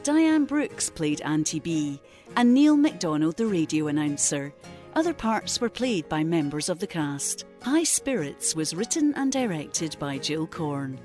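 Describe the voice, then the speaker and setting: Lady reading scripted credits, bit low voiced, lots of lilt, quiet music under it. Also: very animated, unhurried. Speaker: credits announcer. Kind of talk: scripted narration.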